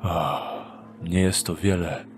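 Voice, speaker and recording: A man playing a tired, quietly resigned thief in an audio drama. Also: low-pitched, fairly even intonation, takes it slowly, slight background noise. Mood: weary, content.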